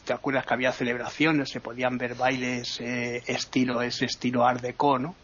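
A man's voice: mid-range voice, flat delivery, brisk, fairly bright tone, slightly rough. Rambling Spanish man guiding, slightly muffled sound. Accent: Spanish from Spain. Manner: rambling, hesitant, keeps seeking agreement with tag questions. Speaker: radio travel segment presenter. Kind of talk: casual conversational travel guide commentary.

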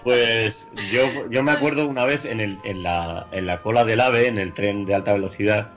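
A man's voice: on the low side, moderate pace, fairly bright tone, soft background music. A man from Madrid with a Madrid Spanish accent, chatting casually as a radio co-host and repeating his words. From Spain, Madrid.

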